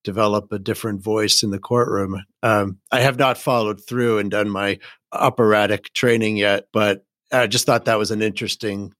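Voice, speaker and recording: Guy hosting a lawyer podcast, lowish voice, dry close sound. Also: fairly even intonation, normal speed, fairly bright tone, no echo. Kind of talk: conversational storytelling.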